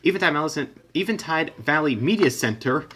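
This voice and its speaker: A man hosting a simulation with casual, rambling commentary, stumbling over words and dropping deadpan asides. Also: a little high, fairly quick. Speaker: host narrating a simulation.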